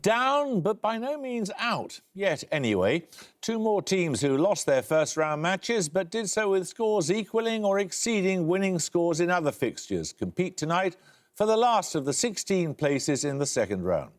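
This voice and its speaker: A man hosting a quiz show, reading questions crisply and briskly in a studio. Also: sits high, big pitch swings. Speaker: quizmaster. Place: television studio.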